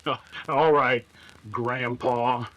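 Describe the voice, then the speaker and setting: A man's voice: mid-range voice, fairly even intonation, extremely slow, fairly bright tone, low-quality recording. A man playing a hesitant, greedy, folksy outlaw henchman in an old-time radio adventure. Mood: reluctant, grumbling.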